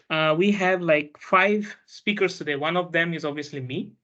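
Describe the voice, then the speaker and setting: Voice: medium pitch, a bit bright, dull audio. A student hosting an online panel, talking hesitantly with many fillers and restarts. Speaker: panel host and student speaker.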